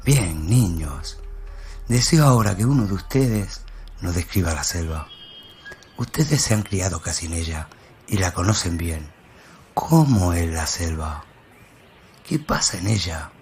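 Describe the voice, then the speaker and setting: Man acting stern, probing school inspector, slow-spoken. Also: deep voice, animated, a little mellow, a little hiss or hum. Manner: firm, commanding questioning. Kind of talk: dramatic radio play storytelling.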